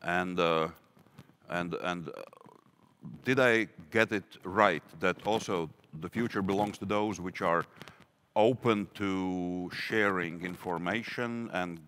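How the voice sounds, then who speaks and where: A man moderating a conference Q&A, reading out audience questions in a halting way with many fillers. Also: low-pitched, fairly bright tone. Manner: hesitant, halting, full of fillers.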